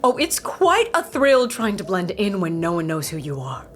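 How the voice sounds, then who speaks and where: A woman's voice: mid-range voice, sing-song, moderate pace, lightly acted. A woman playing a nosy, self-satisfied big boss in a sitcom podcast, theatrical and confiding. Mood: excited, confiding.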